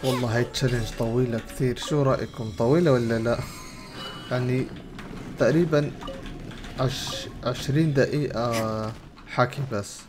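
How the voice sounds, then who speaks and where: A man's voice: medium pitch, moderate pace, long pauses, over music. A young adult man with a Levantine Arabic accent, casually commenting as he plays a game and relaying its dialogue. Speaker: gaming streamer. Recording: game audio and noise behind voice. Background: video game sounds.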